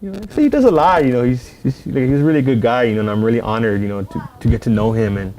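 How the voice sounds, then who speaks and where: A man's voice: medium pitch, fast talker, darkish tone, slight background noise, low-quality recording. A man from San Antonio, chatting as a guest on a podcast and rambling with many "you know" fillers. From San Antonio, Texas. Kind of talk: casual podcast chat.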